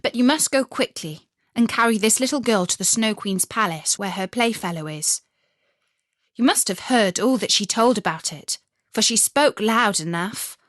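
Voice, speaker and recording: A woman's voice: mid-range voice, expressive, moderate pace, fairly bright tone, no echo, studio quality, close to an everyday voice. A woman voicing a fierce but kindly little robber girl in a storybook, commanding and blunt. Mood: bossy, brisk.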